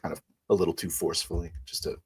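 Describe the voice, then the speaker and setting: A man playing a tabletop roleplay, narrating his character's actions in the first person with hesitant, pausing phrasing. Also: deep voice, steady tone, husky. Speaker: roleplay player.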